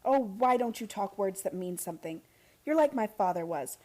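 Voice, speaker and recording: Woman acting an insistent young heiress, mellow-voiced. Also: mid-range voice, expressive, normal speed, natural voice. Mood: insistent, frustrated. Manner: earnest, questioning, exasperated. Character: frustrated, insistent young heiress seeking family secrets. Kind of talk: old-time radio play acting.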